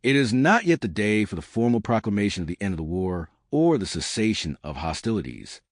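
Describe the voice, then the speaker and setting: Low-voiced man reading news, animated pitch. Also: brisk, dry recording, clean studio sound. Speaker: narrator reading newspaper articles. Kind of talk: scripted news reading of archival headlines.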